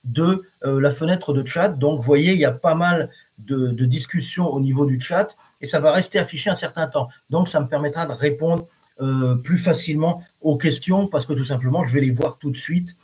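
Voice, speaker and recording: A man hosting a live reflexology lesson, chatting loosely with his viewers. His voice sits a bit higher than most men's, and he hesitates often, restarting his sentences. He reads viewer comments aloud as they come in. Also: normal speed, a little mellow. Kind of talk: casual live-stream talk to viewers.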